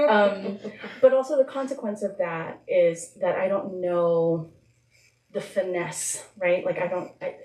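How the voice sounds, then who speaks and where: A woman whose first language is Spanish, speaking as a guest author at a live event in a reflective, halting, informal way. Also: on the low side, a little mellow. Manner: reflective, halting, informal, with frequent filler words. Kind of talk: candid live talk answering audience questions. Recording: echoey room, distant microphone.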